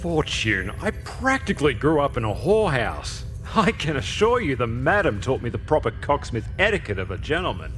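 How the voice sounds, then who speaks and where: An actor playing a brash, roguish spaceship captain in a video game, speaking in a cheeky, informal way. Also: male voice, medium pitch, big pitch swings, moderate pace, hardly any pauses, fairly bright tone, soft background music. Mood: cheeky, boastful. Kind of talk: scripted video game character dialogue.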